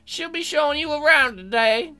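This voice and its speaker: A woman doing a high-pitched pompous shark principal. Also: animated, sharp tone, soft background music. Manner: pompous, drawn-out, theatrical. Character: pompous, stern hammerhead shark school principal, male.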